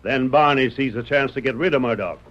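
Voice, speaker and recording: An actor playing a shrewd, gruff frontier sheriff in an old radio western, blunt and folksy with a rural Western American accent. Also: male voice, mid-range voice, a little fast, natural voice. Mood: accusing. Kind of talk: 1940s radio western acting. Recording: muffled, noisy vintage radio recording.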